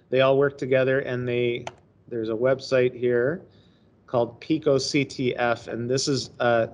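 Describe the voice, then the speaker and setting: A middle-aged male teacher giving a casual, chatty screen-share presentation on a video call. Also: medium pitch. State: hoarse, coughing. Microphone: webcam on a laptop.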